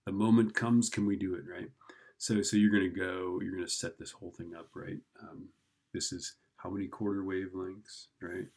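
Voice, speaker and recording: A man's voice: deep voice, flat delivery, moderate pace, darkish tone. A man giving an informal physics lesson, thinking aloud as he works through a problem and often checking in with the listener. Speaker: physics teacher.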